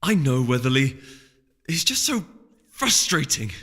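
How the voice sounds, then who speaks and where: A man's voice: medium pitch, very animated, a little slow, fairly bright tone, pitched above the performer's own voice, full character voice. A man voicing an imperious, frustrated noble mare duchess in a fantasy storybook, with a haughty, emphatic delivery. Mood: exasperated. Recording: long reverb, music underneath.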